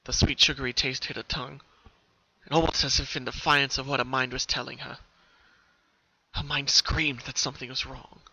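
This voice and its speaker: A man's voice: medium pitch, flat delivery, normal speed, pushed and bright, breathy edge. A man narrating a story as a dramatic reading. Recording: dull, lo-fi home recording.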